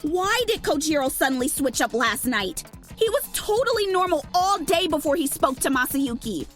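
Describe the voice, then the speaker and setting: A woman's voice: high voice, big pitch swings, moderate pace, a bit bright, faint music behind. A woman playing a hot-headed, accusatory young woman in an audio drama, blunt, emphatic and insistent. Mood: heated, accusing. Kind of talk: fan audio drama acting.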